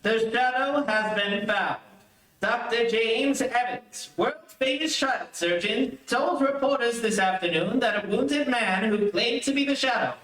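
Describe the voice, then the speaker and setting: A man performs a vintage radio bulletin announcer. The voice is high for a man, formal and declamatory, with official urgency. It rings with heavy echo from a distance. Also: fairly bright tone. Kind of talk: 1930s radio news bulletin acting. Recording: very echoey, distant-miked stage recording.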